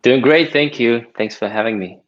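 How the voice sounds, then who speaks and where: A man's voice: mid-range voice, moderate pace. A man, a podcast guest answering casually with many fillers. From Ukraine. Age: 30s. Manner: hesitant, many filler words. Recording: dull lo-fi audio.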